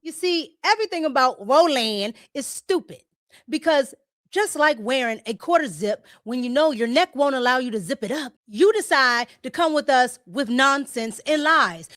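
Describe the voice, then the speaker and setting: A woman with a high voice and an American accent hosts a political commentary show. She is blunt and mocking, leaning hard on her points. The sound is close and dry. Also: animated, normal speed, fairly bright tone, no room sound.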